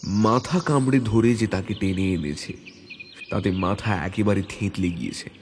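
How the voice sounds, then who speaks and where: A man reading a hunting adventure as a dramatic audio story, in a measured, suspenseful way. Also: fairly low pitch, darkish tone, a little hiss or hum. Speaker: story narrator. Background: background music.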